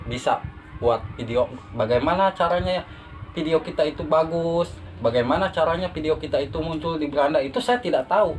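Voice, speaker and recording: A man answering casual interview questions as a podcast guest, humble and halting, repeating his phrases. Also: on the high side. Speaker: podcast guest, beginner YouTuber. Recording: noisy amateur phone recording.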